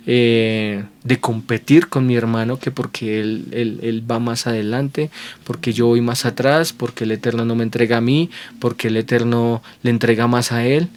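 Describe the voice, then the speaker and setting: A man preaching with earnest conviction, his voice sitting at an ordinary middle pitch. He keeps checking in with his listeners through quick rhetorical questions and circles back over phrases as he builds each point. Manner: repeats and restarts phrases while building points. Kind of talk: sermon to viewers at home.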